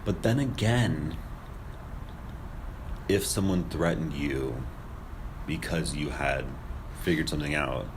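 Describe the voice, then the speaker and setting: A young man with a remarkably deep voice talks casually on a podcast. He questions things skeptically, and clear noise runs behind his voice. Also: slow pace, pauses often. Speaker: podcast co-host. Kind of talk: casual conspiracy discussion. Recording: noisy room recording.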